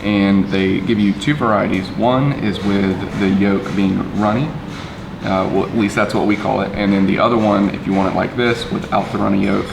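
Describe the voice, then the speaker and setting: A man vlogging casually over breakfast in a hotel dining area, rambling with fillers, his voice a little raspy from the early hour. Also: fairly low pitch, normal speed. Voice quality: slightly raspy in the early morning. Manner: relaxed and rambling, with many fillers. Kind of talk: casual vlog chat. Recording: echoey room with background noise.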